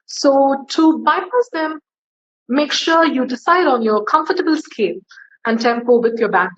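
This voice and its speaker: A woman's voice: a little slow, muffled recording. A woman singer giving casual tips in an interview, chatty and friendly, with many fillers. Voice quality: low, bass-leaning voice for a woman.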